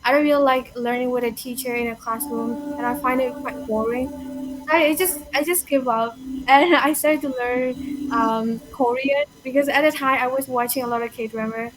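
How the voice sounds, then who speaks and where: A woman's voice: sits high, fairly even intonation, moderate pace, a little hiss or hum, rough recording. A young woman from Myanmar with a Burmese accent, chatting casually with many fillers and restarts. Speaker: language learner guest. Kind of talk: casual conversation.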